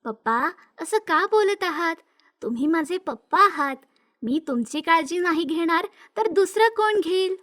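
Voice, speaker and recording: Cartoon little daughter, voiced by a woman: timid, devoted, very high-pitched. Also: animated, measured pace, fairly bright tone, full character voice. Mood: tender, devoted. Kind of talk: animated moral story dialogue.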